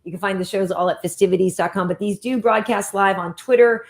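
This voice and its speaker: Female host, relaxed and cheerful, casual show sign-off. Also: mid-range voice, brisk, gravelly. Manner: upbeat, easygoing, laughs often.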